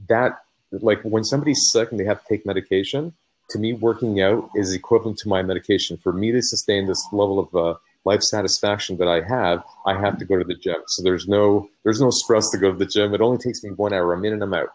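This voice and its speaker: A man in his forties hosting a casual chat, upbeat and quick to laugh. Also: lowish voice, fairly even intonation, a little mellow, rough recording. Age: 40s. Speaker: host.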